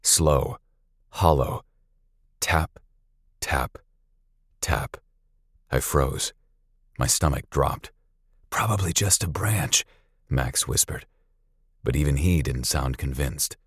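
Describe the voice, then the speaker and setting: A man narrating a scripted story dramatically, with a suspenseful, deliberate delivery. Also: extremely low pitch, steady tone, breathy edge, dry recording, clean studio sound.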